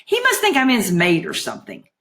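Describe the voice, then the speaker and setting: A woman's voice: medium pitch, big pitch swings, normal speed, fairly bright tone. A woman voicing a flighty, sulky teenage girl in a storybook, chatty and slangy, gushing one moment and petulant the next.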